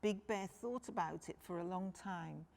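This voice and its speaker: Creaky-voiced woman reading a children's story. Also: on the low side, measured pace. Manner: animated, addressing children directly. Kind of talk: expressive picture-book reading for young children.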